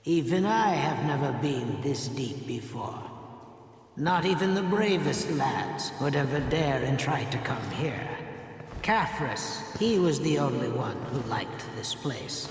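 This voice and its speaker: Awed explorer, cavernous reverb, distant, slow, measured, fantasy game character. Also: male voice, mid-range voice, expressive. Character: awed male explorer of forbidden depths.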